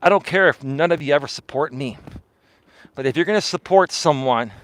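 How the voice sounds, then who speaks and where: A man's voice: on the high side, a little fast, fairly bright tone. A man live-streaming while out on a walk, ranting bluntly at his viewers and hedging his claims with "in my opinion.". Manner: blunt, argumentative, repeats "in my opinion". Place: outdoors on a walk.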